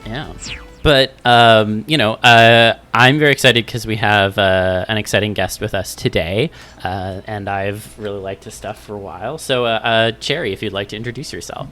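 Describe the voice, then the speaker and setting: A man's voice: fairly low pitch, steady tone, moderate pace, bright, forceful tone, soft background music. A man hosting a podcast, chatting in an upbeat, easygoing way. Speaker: podcast host. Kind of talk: casual podcast chat.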